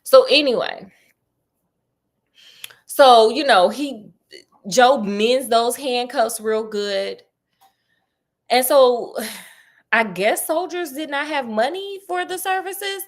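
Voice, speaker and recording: A woman with a fairly high voice tells a classic story in a loose, chatty way. She keeps breaking off with sassy asides. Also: takes it slowly, long pauses. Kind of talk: casual comic story retelling.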